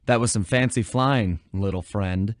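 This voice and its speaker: A man's voice: on the low side, measured pace, dry recording, clean studio sound, lightly acted. A man voicing a suave young animal thief in a cartoon video game, wry, casual and unflappable. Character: suave, laid-back, wisecracking young male animal thief.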